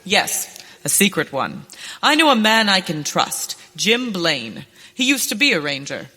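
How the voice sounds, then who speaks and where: A woman's voice: on the low side, very animated, unhurried, sharp tone, heavily acted. A woman playing a determined young rancher turned masked avenger in a western radio play, speaking earnestly and theatrically. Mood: earnest, planning. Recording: reverberant hall, stage performance.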